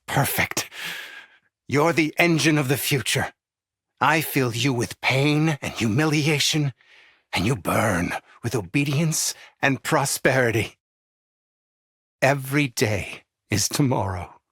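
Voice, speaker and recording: An actor playing a menacing, sadistic game villain who speaks in a deliberate, seductive way. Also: male voice, mid-range voice, lively intonation, fairly bright tone, breathy edge, no room sound, studio quality, full character voice. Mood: sinister praise. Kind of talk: video game voice lines.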